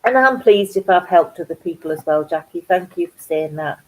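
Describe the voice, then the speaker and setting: A woman's voice: on the low side, animated, moderate pace, low-quality recording. A middle-aged woman with a Geordie accent touched by North Yorkshire, chatting and rambling casually to her viewers as a crafts channel host. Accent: Geordie mixed with North Yorkshire. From North East England. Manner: chatty, rambling, self-deprecating. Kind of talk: casual chat to live viewers.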